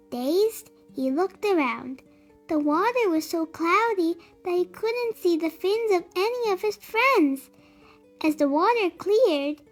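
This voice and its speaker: Very high-voiced woman reading a children's story. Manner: deliberate, animated storytelling. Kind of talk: expressive read-aloud narration for children.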